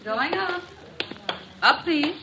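An actress playing a courteous, chirpy elevator operator in old American radio drama style. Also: female voice, sits high, animated, extremely slow, full character voice. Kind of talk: 1940s-50s American radio drama acting. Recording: noisy old radio recording.

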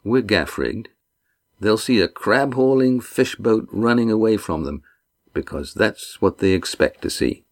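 A man's voice: on the low side, animated, measured pace, pitched above the performer's own voice. A male narrator voicing a proud, injured sailing master who talks in clipped, curt replies. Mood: terse.

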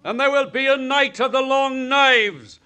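A man's voice: very high voice, steady tone, measured pace, bright, forceful tone, smooth, full character voice. A man playing a fanatical revolutionary, ranting a bloodthirsty speech in old radio drama style. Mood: fervent ranting. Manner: declamatory, ranting. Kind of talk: 1950s radio drama oratory.

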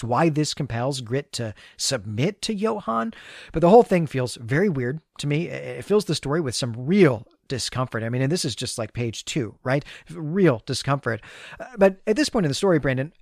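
A man's voice: mid-range voice, no room sound. A man with a mid-range voice speaks as a podcast co-host. He recaps and comments on fiction in a chatty, conversational way, often checking in with small tag questions. The sound is close and dry.

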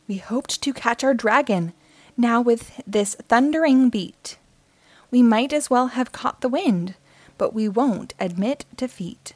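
A woman's voice: on the high side, animated, a little slow, a little mellow, dry recording. A woman reading the part of an eager, determined child dragon hunter in an earnest storybook delivery.

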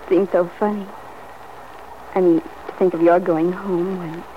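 A woman's voice: fairly low pitch, flat delivery, measured pace, close to an everyday voice. Woman acting wistful young sweetheart in old radio drama, earnest, muffled noisy recording. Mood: awkward, hesitant. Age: young adult.

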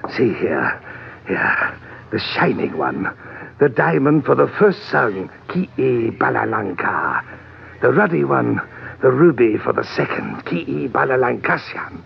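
An actor playing a fat, excitable, obsessive collector with florid, pompous, stammering diction in an old-time radio drama. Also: male voice, medium pitch, slow, gravelly, full character voice. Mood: rapturous, obsessed. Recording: muffled, noisy vintage radio recording.

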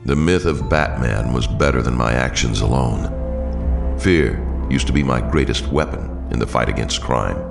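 An actor playing a brooding, weary vigilante in an audio drama, gravelly, terse and grave. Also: male voice, a bass voice, measured pace, exaggerated voice. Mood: grave, resolute. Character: brooding, weary adult male vigilante crime fighter. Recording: long reverb, music behind.

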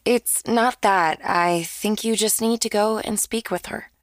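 A woman's voice: medium pitch, unhurried, no room sound, close to an everyday voice. A woman playing a firm, concerned wife and mother in an audio drama.

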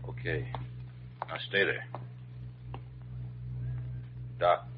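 A man's voice: low voice, a little slow, noisy background. A male American actor playing a menacing, terse gangster gunman in a radio crime drama, giving curt, slangy orders. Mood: suspicious, curt. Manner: curt, tough slangy commands. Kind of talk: 1940s-style radio crime acting. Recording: dull vintage radio recording.

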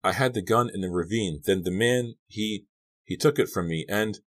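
A man voicing an anxious, guilt-stricken teenage girl in an audiobook, with a halting, shaky delivery. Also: fairly low pitch, pitched above the performer's own voice, close to an everyday voice. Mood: horrified.

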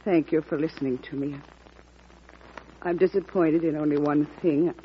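A woman's voice: sits low, steady tone, moderate pace, highs cut off, a little hiss or hum, lightly acted. An actress playing a dignified wife pleading for her condemned husband's life in an old radio drama. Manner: earnest, pleading, restrained. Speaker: petitioner's wife. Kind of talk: 1950s radio drama acting.

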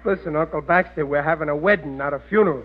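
Casting: male actor as dim, stubborn working-class dad, high blustery voice, very narrow tinny radio sound. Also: fast, a little hiss or hum, close to an everyday voice. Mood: proud, blustering. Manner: indignant, ungrammatical, boastful. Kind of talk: 1940s radio sitcom acting.

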